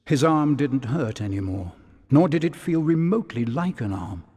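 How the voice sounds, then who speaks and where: A man narrating a storybook-style fantasy tale for a video game in a scripted, measured manner. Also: mid-range voice, lively intonation, warm tone, husky. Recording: long hall reverb.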